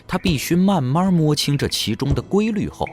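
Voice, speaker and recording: A man's voice: fairly high pitch, very animated, normal speed, a little mellow. A man narrating an audio drama in a dramatic, scripted storytelling style. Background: background music.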